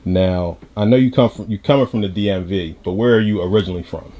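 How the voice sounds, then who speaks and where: A man's voice: low-pitched, fast talker, warm tone. A man hosting a casual hip-hop interview, speaking informally with plenty of slang. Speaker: interviewer, host.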